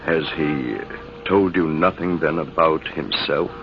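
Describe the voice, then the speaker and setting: Very deep, gravelly reminiscing army major. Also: male voice, measured pace. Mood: solemn. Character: older army major recalling a past rival, reflective, measured. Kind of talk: 1940s radio drama acting. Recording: noisy, muffled vintage radio recording.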